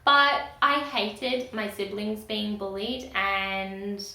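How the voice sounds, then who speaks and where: A woman giving outspoken, emphatic commentary in a video blog, talking loudly and firmly about what she stands for. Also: mid-range voice, steady tone, takes it slowly, echoey room, low-quality recording. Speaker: video creator. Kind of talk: opinionated commentary vlog.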